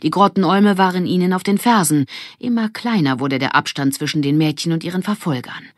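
A woman reading a children's audiobook as an expressive scripted narrator. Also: on the low side, fairly quick, no room sound, clean studio sound.

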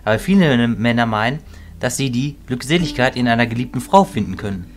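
A man playing a calm, reasoning mentor in a fantasy audio drama, measured, philosophical and reassuring. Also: medium pitch, close to an everyday voice. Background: music.

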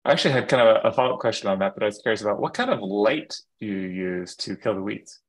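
A man in his thirties moderating an online panel over a webcam video call, with many ums and restarts. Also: lowish voice. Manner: halting, filler-heavy. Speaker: event moderator.

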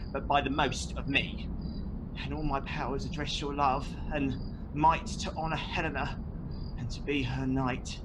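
A man's voice: mid-range voice, lightly acted. A man playing an ardent, besotted young nobleman in a theatrical Shakespearean radio play. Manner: theatrical, declamatory. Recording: noticeable background noise.

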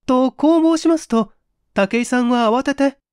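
Genteel young lady played by a woman reader, high voice, anxious and polite, mystery audiobook, dry sound. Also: a little slow, a little mellow, smooth, no echo. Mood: startled, polite. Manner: feminine, polite, flustered. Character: anxious, genteel young single woman recounting her ordeal. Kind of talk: dramatic audiobook reading.

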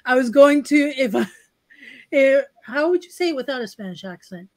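Upbeat high-voiced woman hosting a live stream. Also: animated, moderate pace, no echo. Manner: laughs often, upbeat and chatty. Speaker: host of a live writing stream. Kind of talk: casual live-stream chat, reading out comments. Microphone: webcam on a video call.

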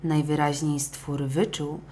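A woman narrating a scripted children's audio story in an expressive, dramatic way. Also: deep voice, slow, a little hiss or hum.

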